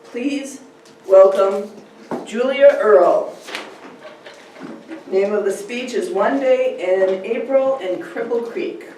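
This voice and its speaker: A woman's voice: a little high, slow, long pauses, darkish tone. A woman reading out a prepared introduction for a speaker, as if presenting at a club meeting. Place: large echoey indoor room. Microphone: distant camera microphone. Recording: echoey room from far off, hiss.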